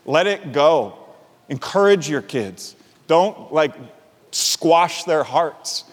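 A man's voice: a little high, takes it slowly. A middle-aged male pastor preaching a sermon, telling a personal story with frequent chuckles. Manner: animated storyteller, chuckles often. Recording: reverberant hall.

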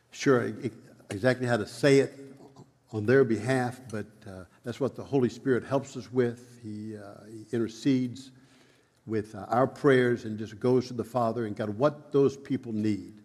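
A man's voice: fairly low pitch, fairly even intonation, normal speed, darkish tone. A man who stutters, giving church announcements and leading a prayer with many repeats and fillers. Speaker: preacher. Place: church sanctuary. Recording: long hall reverb.

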